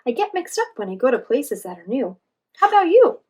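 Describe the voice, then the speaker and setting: A woman's voice: sits high, very animated, fairly quick, darkish tone, slightly rough, pitched above the performer's own voice, heavily acted. A woman voicing a confused, friendly young male monkey in a children's storybook, eager and bouncy.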